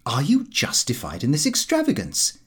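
A man's voice: on the high side, huge pitch swings, moderate pace, husky, exaggerated voice. A man playing a pompous, earnest middle-aged clerk and disapproving father in an audiobook.

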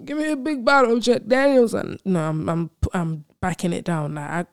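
A woman's voice: mid-range voice, steady tone, normal speed, dry recording, studio quality. A woman, hosting a casual solo podcast and telling stories in a chatty, slangy way. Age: 20s. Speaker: podcast host.

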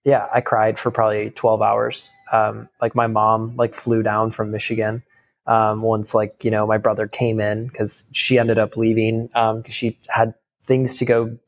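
Gravelly young man telling a raw story. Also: on the low side, completely flat delivery. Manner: casual, halting, many fillers and restarts. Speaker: guest. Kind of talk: candid personal storytelling.

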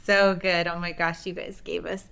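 A woman's voice: fairly low pitch, level tone, moderate pace, vocal fry, a bit bright, clean tone. A woman co-hosting a podcast, wrapping up the episode in a gushing, grateful way. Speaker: podcast co-host.

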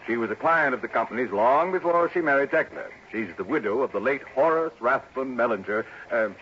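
A man's voice: mid-range voice, animated, fairly quick, a bit bright, slight background noise, close to an everyday voice. An actor playing a flustered, deferential male insurance agent, formal and hesitant, in old radio drama style. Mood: earnest, fussy. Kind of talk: 1950s-60s American radio drama acting. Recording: dull, telephone-like old radio recording.

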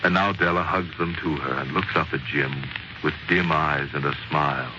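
A man narrating as a 1940s radio storyteller, in a dramatic, measured, scripted style. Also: rumbling low, fairly even intonation, noisy background. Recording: muffled 1940s radio recording. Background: prominent music.

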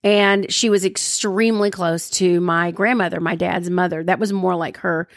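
A woman's voice: on the low side, fairly even intonation, normal speed, no echo, studio quality. A woman broadcaster from the American South with a neutral broadcast accent, chatting casually with her husband and laughing often. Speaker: broadcaster and co-host. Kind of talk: casual chat with spouse.

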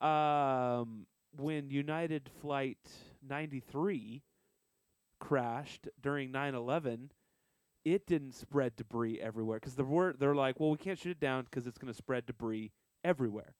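A man's voice: medium pitch, measured pace, no room sound. Blunt, scoffing male podcast co-host. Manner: blunt, scoffing, tells stories with stumbles and restarts. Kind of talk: casual chat.

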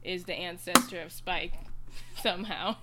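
A woman co-hosting a casual podcast, eager and quick to agree as she chimes in. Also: lowish voice, sharp tone. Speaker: podcast co-host. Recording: noisy background.

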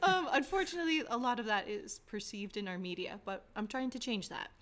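A woman's voice: mid-range voice, fairly quick, a bit bright. A woman hosting a podcast, talking in a casual, chatty and reassuring way. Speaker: podcast host interviewing.

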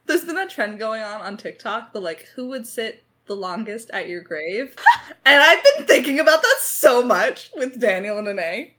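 A woman's voice: on the high side, expressive, normal speed, sharp tone. Effusive, slangy woman chatting casually. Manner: effusive, rambling, playfully dramatic, slangy. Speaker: lead writer and voice actor of an audio drama. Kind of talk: casual chat, creator Q&A.

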